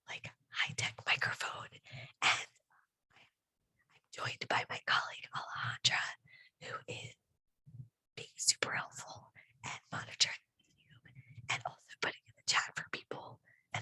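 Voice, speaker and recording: A woman's voice: pushed and bright, no echo, poor audio. A woman who has lost her voice, whispering breathily close to a microphone as a teacher presenting a conference session, chatty and self-deprecating. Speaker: staff development teacher presenting.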